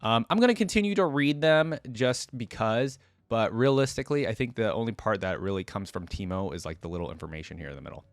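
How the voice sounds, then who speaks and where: A man's voice: on the low side, lively intonation, fast, hardly any pauses, dry recording. A man hosting a reaction video, switching between casual off-the-cuff commentary and reading text aloud.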